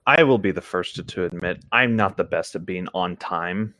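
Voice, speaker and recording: A man's voice: low voice, normal speed, sharp tone, no room sound. A man co-hosting a casual show, bantering and reading aloud informally, dropping in his own comments. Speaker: co-host reading a story aloud.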